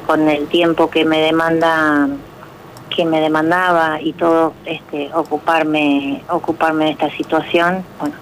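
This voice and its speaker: A middle-aged Argentine woman being interviewed on the radio, with a distinctly low voice. She speaks haltingly, searching for words, over faint background noise. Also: poor audio. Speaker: interview guest, pilates instructor.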